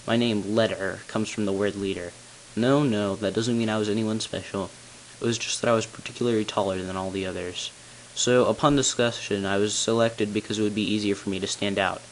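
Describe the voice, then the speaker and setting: A hissy home recording carries the low voice of a tall, solemn bell ringer acted by an amateur man. He narrates long-kept secrets from a video game story. His delivery is grave and deliberate. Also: steady tone, close to an everyday voice, rough recording. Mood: earnest, confiding. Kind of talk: scripted character narration, amateur game voice-over.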